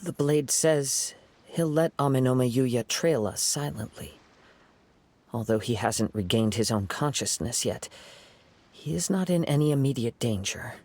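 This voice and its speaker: An actor playing a calm, honorable young wandering swordsman in a video game, with gentle, measured, formal speech. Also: medium pitch. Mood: reassuring. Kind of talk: scripted video game acting.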